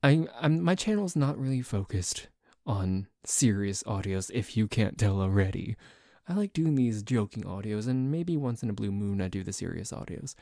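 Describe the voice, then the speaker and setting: A man's voice: fairly low pitch, lively intonation, normal speed, vocal fry, dark tone, no room sound, studio quality. A man, an audio roleplay creator, rambling casually and self-deprecatingly to his listeners. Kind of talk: casual outro chat to listeners.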